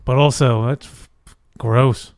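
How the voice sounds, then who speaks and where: A man telling crude anecdotes in casual podcast chat, offhand and halting. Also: medium pitch, steady tone, a little mellow, clear, resonant tone, dry recording, clean studio sound. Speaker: podcast co-host.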